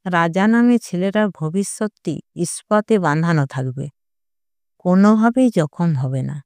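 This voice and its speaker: A woman's voice: medium pitch, dark tone, smooth, no room sound. A woman reading a literary short story aloud with expression. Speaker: audio story narrator.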